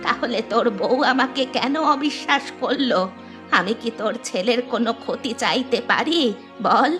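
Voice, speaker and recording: A woman playing a gentle, sorrowful elderly village grandmother in a cartoon, with a soft-hearted, pleading manner. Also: fairly high pitch, lively intonation, moderate pace, over music, close to an everyday voice. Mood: hurt, pleading. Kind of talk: Bengali cartoon voice acting.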